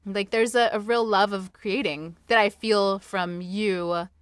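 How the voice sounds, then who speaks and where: A woman's voice: mid-range voice, fairly even intonation, a little slow, clear, resonant tone. A woman interviewing a guest as a podcast host in casual chat, with an encouraging, supportive manner.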